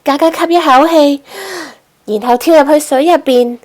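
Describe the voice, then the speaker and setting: A woman's voice: high-pitched, moderate pace, a little mellow. A woman narrating a children's storybook expressively as host.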